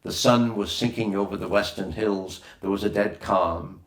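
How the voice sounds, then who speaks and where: A man's voice: fairly low pitch, fairly even intonation, moderate pace. A man reading a children's adventure novel aloud as scripted narration, with a roomy echo on the recording. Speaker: audiobook reader.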